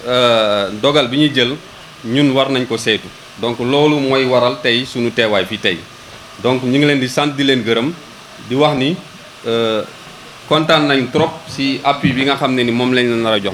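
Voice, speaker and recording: A man with a Senegalese accent delivers a formal ceremony address in a medium-pitched voice. He feels his way through sentences, doubling words as he goes. A faint ambient hum sits behind the voice. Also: a bit bright, low-quality recording. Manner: hesitant, repeating words, switching between Wolof and French. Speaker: local government official. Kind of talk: public ceremony speech.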